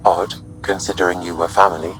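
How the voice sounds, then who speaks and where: Casting note: deep-voiced male ship AI, calm, precise and curious, with faint music behind. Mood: analytical. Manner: even, measured, analytical. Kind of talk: video game voice acting.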